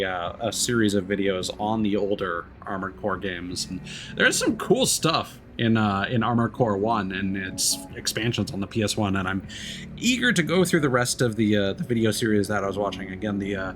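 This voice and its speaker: A man's voice: on the low side, lively intonation, moderate pace, a bit bright, a little hiss or hum. A man livestreaming a game, chatting casually in an easygoing, rambling, hesitant way. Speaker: live streamer. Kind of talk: casual game stream chat.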